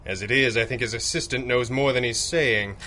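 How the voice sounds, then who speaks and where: An actor playing a composed, commanding masked crime-fighter in 1930s-style radio drama, with measured, formal diction. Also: male voice, mid-range voice, bright, forceful tone, slight background noise, natural voice. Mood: calm, resolute.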